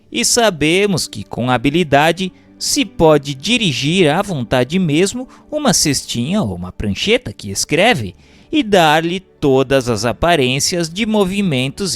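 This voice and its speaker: A Brazilian man reads a formal text as a scripted narration, in a measured and carefully phrased manner. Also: a little high. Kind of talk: scripted audiobook-style reading of a doctrinal text.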